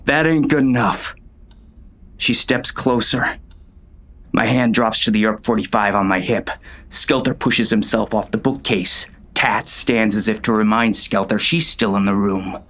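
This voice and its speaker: A man playing a gruff, bitter gunslinger who tells his own story in terse, folksy western talk, as dramatic audiobook narration. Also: lowish voice, unhurried, a bit bright. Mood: angry, demanding, then bitter, tense. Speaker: audiobook narrator and lead actor.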